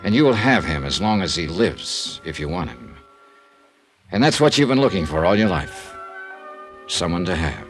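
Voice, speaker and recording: Low-voiced, hard-boiled American radio investigator. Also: male voice, very animated, normal speed. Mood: firm, consoling. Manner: clipped, hard-boiled, matter-of-fact. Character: world-weary, blunt male insurance investigator narrating his case. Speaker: lead actor and narrator. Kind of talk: 1950s radio detective drama acting. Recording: old radio recording with music.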